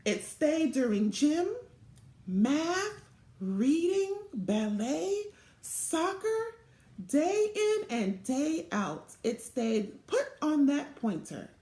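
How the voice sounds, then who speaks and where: A middle-aged woman reading a children's storybook aloud in a deliberate, expressive way, recorded in a small room. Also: sits high. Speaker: volunteer storybook reader. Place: small indoor room or home office. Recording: roomy, echoing home webcam recording.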